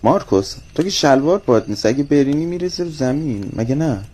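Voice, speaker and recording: A man's voice: on the high side, lively intonation, mellow. A man voicing a teasing, mocking sidekick in a comedy skit.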